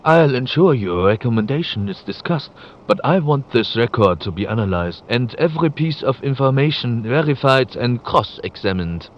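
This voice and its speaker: An actor playing a cautious, exacting official in an audio drama, speaking formally and firmly on a taped record. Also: male voice, mid-range voice, lively intonation, moderate pace, close to an everyday voice. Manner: formal, firm. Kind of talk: cinematic audio drama acting. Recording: dull, faintly noisy tape playback.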